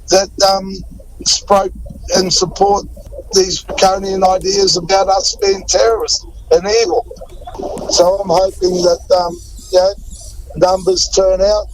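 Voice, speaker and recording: Fervent high-pitched gravelly man. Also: a little mellow, a little hiss or hum, low-quality recording. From Australia. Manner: fervent, repetitive emphasis. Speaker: activist guest. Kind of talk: impassioned interview answers.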